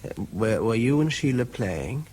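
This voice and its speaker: Slightly husky, tired British officer. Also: male voice, mid-range voice, very animated, normal speed, darkish tone. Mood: gentle questioning. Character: tired, earnest young male military bomb investigator. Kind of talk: radio drama acting.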